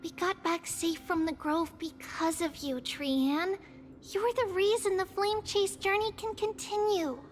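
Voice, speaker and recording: A woman voicing a caring, responsible little girl in a video game, speaking in a gentle, encouraging, articulate way. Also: extremely high pitch, steady tone, unhurried. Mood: reassuring. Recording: music behind the voice.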